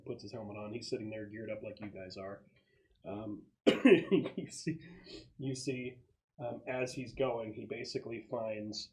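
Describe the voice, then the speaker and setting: A man's voice: mid-range voice, a little mellow, rough recording. A man narrating a tabletop roleplaying game as game master, describing scenes in a conversational way.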